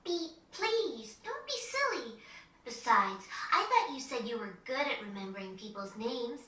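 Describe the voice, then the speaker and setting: A woman playing a gentle, polite and earnest young teenage girl in a video game, speaking courteously. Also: fairly high pitch, big pitch swings, a little slow, reverberant, far from the mic, full character voice. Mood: polite, pensive. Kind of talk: video game cutscene acting.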